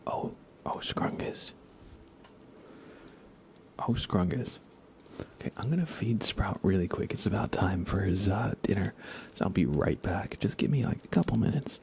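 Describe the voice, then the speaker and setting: Hushed, gravelly low-voiced man. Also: expressive, background noise. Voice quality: soft, hushed, often slipping into breathy whisper. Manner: unhurried, casual murmuring to himself and viewers. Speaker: livestream host. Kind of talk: ASMR gaming livestream chat.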